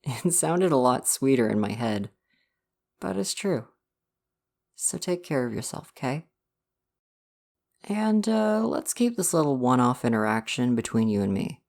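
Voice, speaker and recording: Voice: medium pitch, very animated, a little slow, vocal fry, long pauses. A performer playing an affectionate visual novel boyfriend in an ASMR roleplay, earnest and reassuring. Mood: affectionate, slightly sheepish. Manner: soft-hearted, reassuring, earnest. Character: gentle, affectionate dating-sim boyfriend come to life. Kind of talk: ASMR roleplay, personal affirmation.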